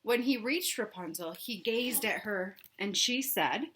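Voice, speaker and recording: A woman reading a storybook aloud for children with expressive narration and chatty asides to her young listeners. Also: medium pitch, a little slow, slightly rough. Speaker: read-aloud host for children.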